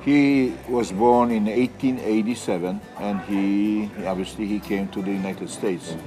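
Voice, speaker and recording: A man's voice: fairly low pitch, fairly even intonation, unhurried, low-quality recording. A man in his sixties from Poland, a native Polish speaker with a Polish accent, answering interview questions in hesitant phrases. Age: 60s. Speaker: innkeeper being interviewed. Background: music.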